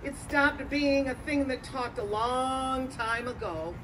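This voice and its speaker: A woman's voice: sits high, slow. A woman playing a cranky, guarded interviewee in a comic dramatic monologue. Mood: defensive, curt. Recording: live venue, audience and background noise.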